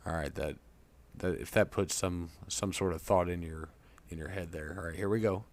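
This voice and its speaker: Very deep-voiced man giving a casual tutorial. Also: moderate pace. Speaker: tutorial presenter. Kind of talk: casual screen-recorded software tutorial. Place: indoors at a computer. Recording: clear background noise.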